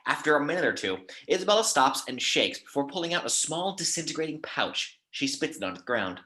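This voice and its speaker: A man's voice: on the high side, very animated, fairly quick, sharp tone. A man narrating action descriptions in a scripted table read.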